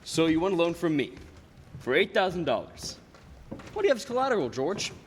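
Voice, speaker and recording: A young man playing a cold, mocking old banker in a radio play, questioning deliberately and with contempt. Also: a little high, big pitch swings. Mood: suspicious, probing. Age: young adult. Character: cold, sneering, wealthy old miser banker. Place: stage in a school theater. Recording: reverberant hall with background noise.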